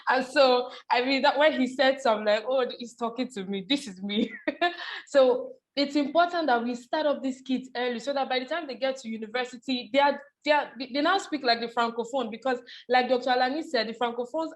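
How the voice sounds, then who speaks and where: A woman's voice: sits high, brisk. Bubbly woman hosting a webinar. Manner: bubbly, laughs often, warm thanks. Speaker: webinar host. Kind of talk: hosting, thanking and introducing speakers. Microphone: video call.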